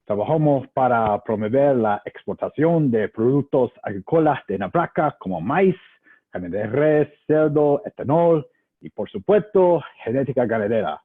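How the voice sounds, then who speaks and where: A man whose first language is English gives a prepared speech in careful, effortful Spanish. His voice sits at a middle pitch. It comes through a thin, phone-like video call line. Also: animated, a little slow. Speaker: state agriculture trade official.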